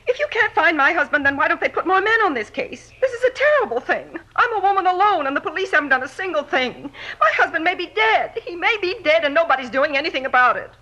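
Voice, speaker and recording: An American actress playing an anxious, defensive middle-aged housewife in an old radio drama, indignant and insistent. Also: female voice, sits high, sharp tone, muffled recording, heavily acted. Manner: indignant, insistent, questioning back. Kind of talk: 1950s radio drama acting.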